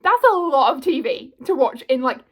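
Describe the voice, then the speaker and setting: High-voiced chatty young woman. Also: very animated, normal speed. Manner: chatty, self-mocking, lots of "like" and "okay?". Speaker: vlogger. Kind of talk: casual chatty commentary.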